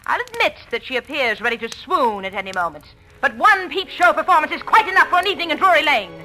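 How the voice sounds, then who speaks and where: A woman's voice: high voice, lively intonation, quick pace, poor audio. A woman playing an imperious, jealous leading lady with a scathing, theatrical manner in an old-time radio drama. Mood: scornful, indignant. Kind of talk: 1940s radio acting. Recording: vintage radio broadcast with faint music.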